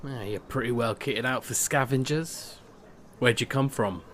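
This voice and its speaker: An actor playing a burly, hostile male guard in an audio drama, gruff and taunting. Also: medium pitch, measured pace, fairly bright tone, close to an everyday voice. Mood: suspicious, curt.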